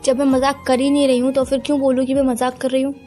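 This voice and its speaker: An actress plays a young girlfriend in an audio relationship drama. Her voice is high and she speaks fast, earnest and insistent, torn but firm. Music plays under her. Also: monotone, mellow, natural voice. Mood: firm, pained. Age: young adult. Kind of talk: acted phone-call drama in conversational Hindi with some English.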